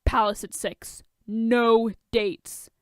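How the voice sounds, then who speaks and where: A woman's voice: on the high side, level tone, extremely slow, clean tone, dry recording. A woman voicing a grumpy, guarded male billionaire in a story reading, curt and sardonic. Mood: curt, irritated. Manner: curt, scolding, dry.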